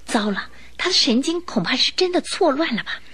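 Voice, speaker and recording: A woman narrating a radio drama in an expressive, measured, scripted storytelling style. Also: high-pitched. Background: music under the voice.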